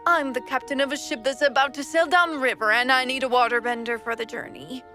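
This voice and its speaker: Fan cartoon dub: sly, drawling ship captain voiced by a woman, a bit high, quietly menacing, clear music bed. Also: steady tone, a little fast. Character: sly, smooth-talking river ship captain. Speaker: voice actor. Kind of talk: fan animated comic dub.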